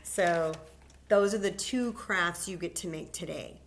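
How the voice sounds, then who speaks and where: Slow, patient woman teaching kids a craft. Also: on the low side, background noise. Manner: slow, patient step-by-step instructions to children. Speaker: library story time host. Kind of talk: children's craft demonstration.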